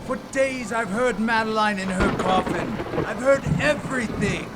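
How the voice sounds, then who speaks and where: An actor playing a deranged, doom-obsessed gentleman in a gothic audio drama, declaiming ominously and theatrically. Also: high voice, normal speed, heavy background noise, lightly acted. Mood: manic dread. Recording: loud dramatic music underneath.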